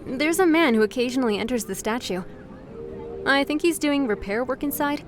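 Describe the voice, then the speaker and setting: A woman's voice: high voice, lively intonation, brisk. An actress playing a friendly, easygoing female street musician in a visual novel game. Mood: relaxed, helpful. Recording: noisy outdoor street ambience behind voice. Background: urban outdoor ambience, traffic noise.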